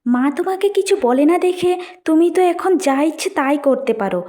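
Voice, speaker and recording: Woman voicing a haughty, high-pitched young sister-in-law. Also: expressive, normal speed, mellow. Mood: scolding. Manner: scolding, complaining.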